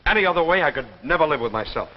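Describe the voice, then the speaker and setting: A man's voice: on the high side, expressive, very fast, close to an everyday voice. An actor playing a stubborn, driven man with an American accent, speaking intensely in a 1950s television drama. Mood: determined. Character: stubborn, driven man hunting for proof of saucers. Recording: muffled 1950s television soundtrack, faint noise.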